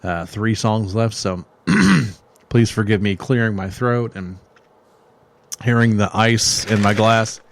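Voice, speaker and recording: Low-voiced rambling metal show host. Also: male voice, expressive, slow pace, creaky. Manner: rambling, joking, many fillers and restarts. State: losing his voice. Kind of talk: casual music review chat.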